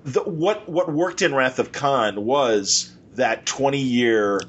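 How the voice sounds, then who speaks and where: A man's voice: medium pitch, slow pace, lo-fi sound, a little hiss or hum. A man hosting a podcast, chatting casually about films in an enthusiastic, rambling way and slipping into imagined quotes. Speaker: podcast host.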